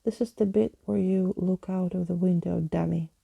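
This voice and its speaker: A woman voicing a sarcastic, mischievous young girl in a storybook, blunt and teasing in a breathy voice. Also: lowish voice, fairly even intonation, normal speed, mellow, no room sound, muffled recording, full character voice.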